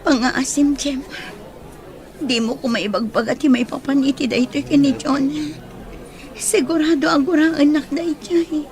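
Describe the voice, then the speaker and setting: A woman's voice: high voice, steady tone, normal speed, a little mellow. A woman playing a guarded, troubled young woman in an audio drama, emotional and defensive, with a Filipino accent. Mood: pained, resigned. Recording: busy crowd sounds behind voice.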